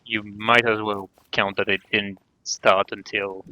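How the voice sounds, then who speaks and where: A man chatting casually on a game livestream, halting often as he thinks aloud. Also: on the low side, flat delivery, sharp tone, no echo. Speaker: game streamer. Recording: dull band-limited mic, faint music.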